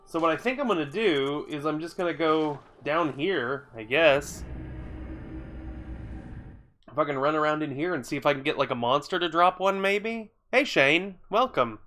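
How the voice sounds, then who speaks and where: A man's voice: a little high, lively intonation, moderate pace, bright, forceful tone, soft background music. A man live-streaming a video game, stuffy from a cold, chatting casually with viewers in a rambling, grumbly way and swearing now and then. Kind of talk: casual live-stream chat while playing.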